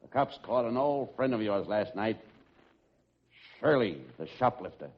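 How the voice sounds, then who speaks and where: A man's voice: fairly low pitch, lively intonation, unhurried. An actor playing an earnest, rather dim police lieutenant as the straight man in an old-time radio comedy. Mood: urgent. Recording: muffled noisy radio recording, audience behind.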